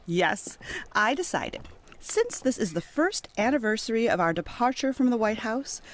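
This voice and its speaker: A woman's voice: on the high side, big pitch swings, moderate pace. A woman playing a gracious, teasing former First Lady hosting guests in a game cutscene. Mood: playful.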